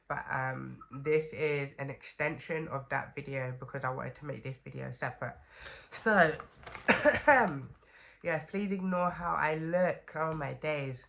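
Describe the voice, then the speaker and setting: A woman's voice: low voice, creaky, fairly bright tone. A young woman with a stuffy, congested voice from a cold, chatting casually to camera as a vlogger with lots of fillers and "okay?" asides.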